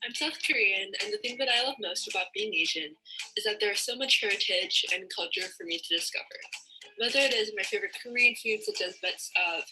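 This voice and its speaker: A woman's voice: medium pitch, a little fast, noisy background. A young woman giving a prepared personal testimonial in a bedroom. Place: bedroom at home.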